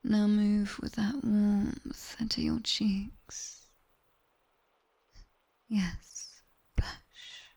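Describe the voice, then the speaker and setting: A British woman voicing a sharp-tongued, teasing demon tutor in a whispered ASMR roleplay, guiding the listener slowly, close to the microphone. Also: medium pitch, little pitch movement, heavily acted. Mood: teasing, soothing. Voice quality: breathy, mostly whispered. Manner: slow, dry, teasing instruction. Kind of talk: ASMR roleplay, guided body scan. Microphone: close binaural microphone.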